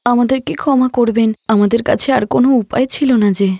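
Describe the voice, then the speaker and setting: A woman's voice: a little high, fairly even intonation, moderate pace, dark tone, no echo, studio quality. A woman voicing an anxious, loving poor village wife and mother in a cartoon moral story. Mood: apologetic. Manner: plaintive, earnest.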